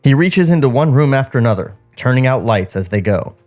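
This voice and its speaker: A man's voice: medium pitch, expressive, fairly quick, darkish tone. Man reading a script aloud, music underneath. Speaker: podcast co-host reading stage directions. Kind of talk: script table read with joking asides.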